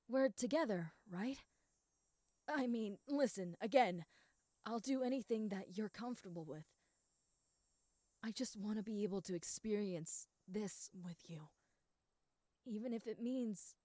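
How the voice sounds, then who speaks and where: A woman playing a proud, competitive schoolgirl rival in a romantic roleplay, speaking in an earnest, blunt, halting and easily flustered way. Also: medium pitch, mellow, no room sound, clean studio sound. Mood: flustered, tender. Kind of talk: roleplay audio acting addressed to the listener.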